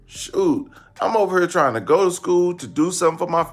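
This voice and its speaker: A man's voice: high-pitched, lively intonation, fairly quick, soft background music. An American man giving casual comedic commentary, slangy and blunt, repeating phrases for emphasis. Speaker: online commentary host.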